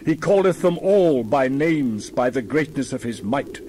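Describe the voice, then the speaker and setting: A man's voice: medium pitch, animated, measured pace, dark tone, slight background noise. A man reading the Bible aloud in a dramatized style, with solemn, theatrical, emphatic declamation. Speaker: Bible reader.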